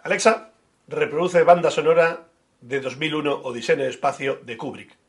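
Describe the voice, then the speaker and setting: A man's voice: on the high side, moderate pace. A man co-hosting a podcast, teasing his partner and laughing often. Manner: teasing, laughs a lot, swears. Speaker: podcast co-host. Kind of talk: casual banter.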